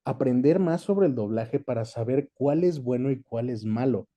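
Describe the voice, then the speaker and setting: Male, Mexican accent, soft-edged dark timbre, medium pitch, conversational, interview guest. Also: normal speed. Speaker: guest, dubbing actor and director. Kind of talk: casual interview chat, recounting experience.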